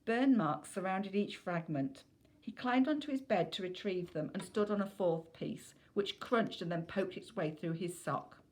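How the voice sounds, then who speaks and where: A woman's voice: on the low side, a little slow, poor audio. A woman reading a children's novel aloud as scripted narration.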